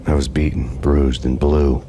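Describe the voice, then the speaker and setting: An actor playing a grim, hard-boiled cop who narrates in a deadpan, world-weary voice-over over game music. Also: male voice, a bass voice, unhurried, a little hiss or hum. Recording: game soundtrack music behind.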